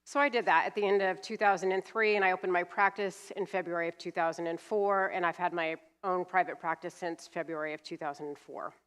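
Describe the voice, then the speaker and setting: A woman's voice: fairly low pitch, fairly even intonation, fairly quick, few pauses, a bit bright, clean tone. A woman in her fifties from California, an attorney giving a seminar talk with a cold, explaining plainly with some fillers. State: has a cold, sniffly. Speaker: estate planning attorney, guest speaker. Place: church hall. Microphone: handheld mic.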